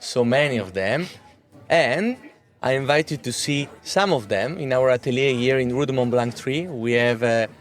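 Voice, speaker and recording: Halting thirty-year-old man in an interview. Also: mid-range voice. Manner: halting, often repeats words. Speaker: watch brand executive being interviewed. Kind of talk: interview answers.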